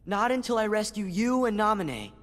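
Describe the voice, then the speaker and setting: A man's voice: high-pitched, very animated, moderate pace, fairly bright tone, big-room sound. A man playing an earnest, stubborn, loyal teenage boy hero pleading in a video game. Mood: insistent pleading. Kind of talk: video game cutscene acting.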